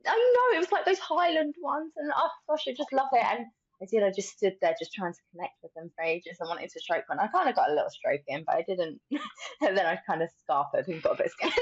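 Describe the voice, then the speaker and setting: A woman's voice: medium pitch, big pitch swings, a little fast, slightly rough, no echo, lo-fi sound. A woman hosting a casual podcast conversation, speaking in an informal, reflective way. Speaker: podcast host.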